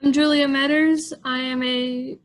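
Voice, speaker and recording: A woman's voice: sits high, fairly even intonation, a little slow. A young woman with an American accent giving a prepared student presentation. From Lexington, Kentucky, US. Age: young adult.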